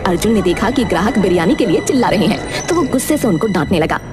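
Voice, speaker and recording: A woman narrating a Hindi cartoon story in an expressive, scripted storytelling style. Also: mid-range voice, fast talker. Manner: expressive, animated. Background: background music.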